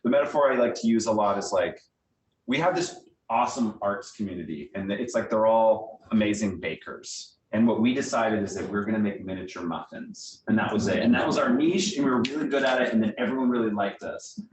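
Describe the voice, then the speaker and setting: An American man with a fairly low voice speaks conversationally as a guest at a meeting, explaining things in everyday terms. He sounds distant from the mic in an echoey room, with faint noise underneath. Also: brisk. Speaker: guest speaker, theatre director. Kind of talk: informal question-and-answer talk to a small meeting.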